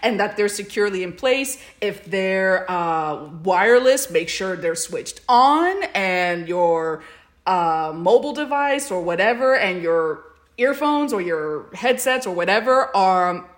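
A woman's voice: medium pitch, lively intonation, unhurried. A woman co-hosting a podcast in casual chat, with a hesitant, meandering way of talking. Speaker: podcast co-host.

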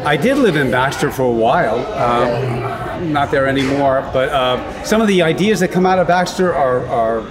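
A man's voice: mid-range voice, normal speed, few pauses, very noisy. A man, a guest on a podcast, talking casually with dry, joking humor and a lot of uhs. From United States. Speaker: guest, developer and architect. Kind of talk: casual interview chat. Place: local brewery.

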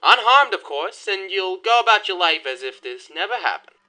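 A cool, threatening 1920s gangster, voiced by an actor in a horror audio drama. He delivers threats low and measured, with a lively, swinging pitch and a bright, tense edge. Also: full character voice, low-quality recording. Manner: low, measured, dryly menacing.

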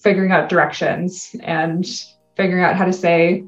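A woman's voice: on the low side, fairly even intonation, normal speed, roomy sound, muffled recording, soft background music. A Canadian woman in her thirties, a guest in an interview, talking casually and laughing often. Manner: upbeat, laughs often, chatty.

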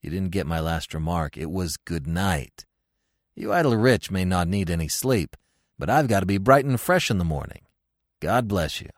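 A man's voice: sits low, lively intonation, normal speed, dry recording, pitched below the performer's own voice. A man voicing a blunt, tired male theatre producer who answers in short, dry remarks. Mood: curt. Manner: curt, dry.

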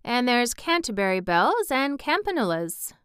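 A woman's voice: a little high, very animated, normal speed, a bit bright, clean tone, dry recording, studio quality, pitched above the performer's own voice. A woman voicing a cheerful, hardy twelve-year-old country boy who loves nature, speaking hearty, earnest Yorkshire dialect in a storybook reading. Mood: informative.

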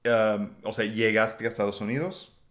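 A man with an American accent hosting a casual podcast in Spanish, relaxed and quick to laugh. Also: on the low side. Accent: American English. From United States. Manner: relaxed, unhurried, laughs easily. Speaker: podcast host. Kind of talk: casual chat.

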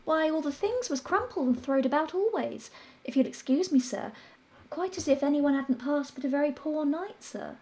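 A woman's voice: high-pitched, animated, normal speed, darkish tone, pitched above the performer's own voice. A woman voicing a young maid who is flustered and deferential, with rustic grammar. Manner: breathless, apologetic, rustic grammar.

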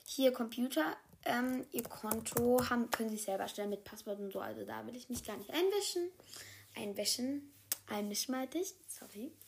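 A woman's voice: on the high side, moderate pace, vocal fry, a little hiss or hum. A woman playing a chatty office worker who shows a new secretary around, rambling and correcting herself as she goes. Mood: friendly. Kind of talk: improvised solo role-play.

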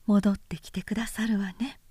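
A woman narrator voicing a proud, high-strung samurai wife who presses and pleads in polite, urgent speech in an audiobook story. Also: flat delivery, normal speed, warm tone, husky, no room sound, clean studio sound, close to an everyday voice. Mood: insistent pleading.

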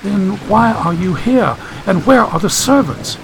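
A man's voice: high-pitched, animated, unhurried, gravelly, a little hiss or hum, voice pushed up, heavily acted, rough recording. A man voicing a frightened, sick young woman in an audiobook, plaintive and polite. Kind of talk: audiobook character voice.

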